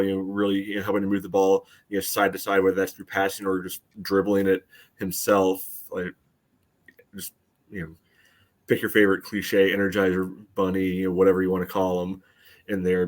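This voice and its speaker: A middle-aged American man chatting about soccer as a podcast panelist, in a rambling, conversational way. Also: deep voice. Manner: rambling, leans on "you know". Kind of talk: casual soccer analysis chat.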